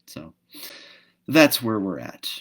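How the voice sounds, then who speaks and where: A man with a fairly low-pitched voice hosts a live reading. He talks casually to listeners and narrates storybook prose aloud. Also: sing-song, slow pace, fairly bright tone. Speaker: host reading a book aloud.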